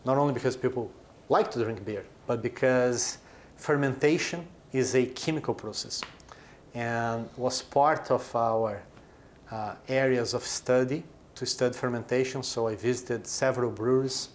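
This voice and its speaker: A man's voice: mid-range voice, normal speed, slight background noise. A man in his forties from Brazil, a company chief explaining his business in an interview, with short emphatic phrases. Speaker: chief executive of a brewing company.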